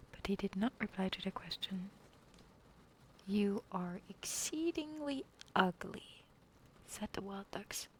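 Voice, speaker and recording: A woman reads an old fairy tale in a hushed, unvoiced whisper, with a gravelly edge. Her pitch sits in the middle range. A faint hiss of noise stays under her voice throughout. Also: poor audio. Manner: soft whisper, stops to apologize and laugh. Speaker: reader. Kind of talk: whispered storybook reading.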